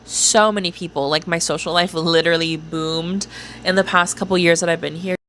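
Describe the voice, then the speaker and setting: A woman's voice: on the low side, fairly even intonation, normal speed, fairly bright tone, a little hiss or hum. A young woman vlogging casually to camera, chatty with lots of filler words and casual swearing, on a small clip-on mic. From Orange County, California. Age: young adult. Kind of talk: casual talking-to-camera vlog.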